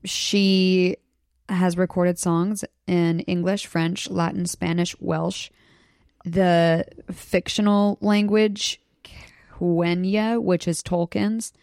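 An excitable young woman from Southeast Alaska chats on a podcast and shares trivia with gleeful enthusiasm. Her voice sits on the low side, and the sound is close and dry with no room echo. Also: slow pace, clean tone. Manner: excited, storytelling, breaks into laughter. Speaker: podcast guest. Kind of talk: casual chat.

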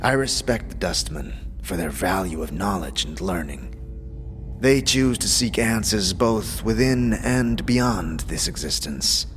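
A man playing a stoic, self-reproaching warrior companion in an audio drama, speaking in formal, solemn, measured phrases. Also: deep voice, lively intonation, slight background noise, pitched below the performer's own voice. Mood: grave, restrained.

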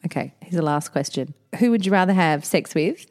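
A woman's voice: low voice, expressive, a little fast, a little mellow. A woman co-hosting a podcast, chatting casually with cheeky, bawdy jokes and frequent laughter. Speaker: podcast co-host. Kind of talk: casual chat.

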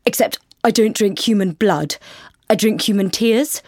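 A woman's voice: medium pitch, unhurried, darkish tone, dry recording, studio quality, natural voice. A woman voicing a moody young man who drinks tears, whiny and manipulative in a story reading. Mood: sheepish. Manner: whiny, self-pitying, manipulative.

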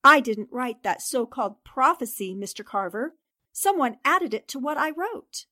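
A woman's voice: high-pitched, big pitch swings, normal speed, pushed and bright, no room sound, studio quality, heavily acted. A woman playing a composed, inquisitive newspaper columnist in a 1940s style radio drama, earnest and direct.

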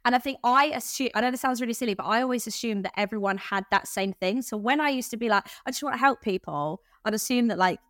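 A woman's voice: on the high side, fast talker, hardly any pauses, fairly bright tone, no room sound, clean studio sound. Chatty British woman guest. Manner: chatty, laughs easily. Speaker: podcast guest. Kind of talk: casual chat.

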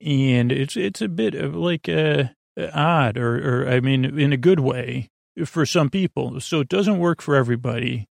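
A man hosting a sleep podcast with a creaky voice, rambling in a soothing, meandering, silly way and fumbling his words as he improvises. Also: mid-range voice, unhurried, few pauses, no room sound, studio quality. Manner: soothing, lulling. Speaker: podcast host.

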